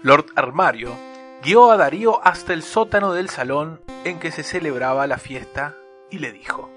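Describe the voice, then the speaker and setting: A man's voice: a little high, animated, normal speed, a bit bright, faint music behind. A man playing a grandly suspenseful, declamatory narrator of a comic radio serial. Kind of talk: scripted radio play narration.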